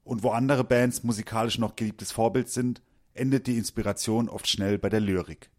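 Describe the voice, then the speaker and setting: A man reading a scripted narration for a culture report in a measured, even way. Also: lowish voice.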